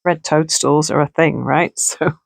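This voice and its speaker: Hoarse-voiced woman chatting through a craft. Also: low voice, steady tone, unhurried, no room sound, clean studio sound. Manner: chatty, thinking aloud while working. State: gravelly, hoarse voice. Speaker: crafting channel host. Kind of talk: casual crafting tutorial chat. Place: home sewing room.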